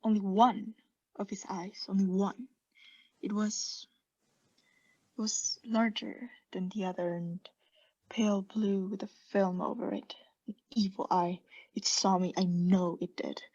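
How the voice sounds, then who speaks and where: A woman playing a mad condemned murderess in a radio play, intense and halting, often sinking into breathy hushed tones as she insists she is sane. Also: medium pitch, steady tone, dull audio. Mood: obsessive. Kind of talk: theatrical radio play monologue.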